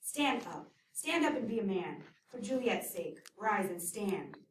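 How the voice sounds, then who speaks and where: A woman's voice: medium pitch, moderate pace, distant mic, a little hiss or hum, close to an everyday voice. Woman as a fussy older nurse, fretful, exclaiming, echoey, set back from the mic. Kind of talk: scripted audio drama.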